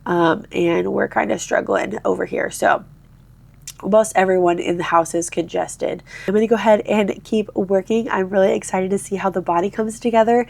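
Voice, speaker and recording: Congested, nasal young woman, gravelly voice. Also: medium pitch. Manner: relaxed, chatty, many "um" fillers. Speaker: crochet vlogger. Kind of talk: casual crochet-along chat.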